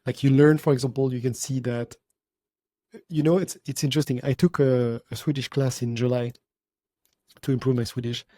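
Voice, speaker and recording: A man's voice: medium pitch, fairly even intonation, normal speed, dark tone, no room sound, clean studio sound. A man hosting a casual podcast chat, speaking thoughtfully and searching for his words. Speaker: podcast host.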